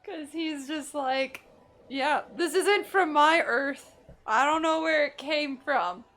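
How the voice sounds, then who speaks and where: A woman's voice: high-pitched, slow pace, a bit bright. A woman hosting a gaming video, giving casual, joking, teasing commentary as she plays. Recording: faint game music behind.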